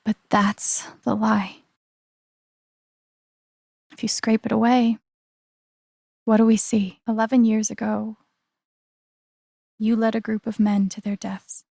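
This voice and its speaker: A woman playing an earnest, wounded young woman in a naturalistic video game drama, speaking breathily with slow, deliberate, emotionally weighted phrasing. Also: a little high, flat delivery, creaky, a little mellow, dry recording, clean studio sound, exaggerated voice. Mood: accusing. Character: earnest, wounded young woman confronting betrayal with quiet conviction.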